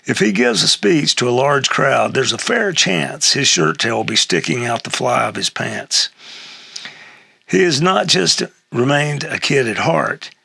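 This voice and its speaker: An older man with an American accent and a gravelly, husky voice, reading a humorous personal essay aloud in a halting way. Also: medium pitch, sing-song, pushed and bright, no room sound. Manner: halting, with throat clearing and chuckles.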